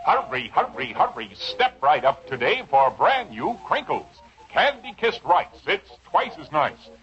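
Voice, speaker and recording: High, exuberant American barker, man. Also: big pitch swings, a little slow, a bit bright. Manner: urgent, exuberant. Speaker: commercial pitchman. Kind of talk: carnival-barker 1950s radio ad. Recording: muffled old radio, faint music.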